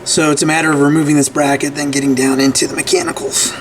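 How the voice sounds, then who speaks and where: A man's voice: a little high, flat delivery, fast, noisy background. Chatty man explaining a repair at his bench. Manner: conversational, frequent "right?" and restarts. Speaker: repair channel host. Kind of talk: casual repair tutorial. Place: workbench room.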